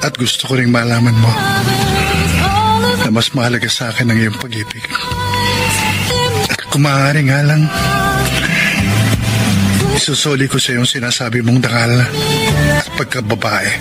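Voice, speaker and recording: Actor as an ardent young Filipino doctor, loud music. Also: male voice, mid-range voice, moderate pace, long pauses, natural voice. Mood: hurt, pleading. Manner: earnest, pleading, dramatic delivery. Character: ardent, sincere young male doctor in love. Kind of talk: Tagalog radio romance drama acting. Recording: radio drama with loud music bed.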